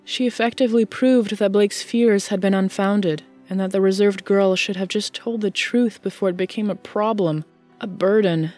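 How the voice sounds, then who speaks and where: Lower-pitched woman narrating over soft music. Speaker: audiobook narrator. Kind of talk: scripted fiction narration.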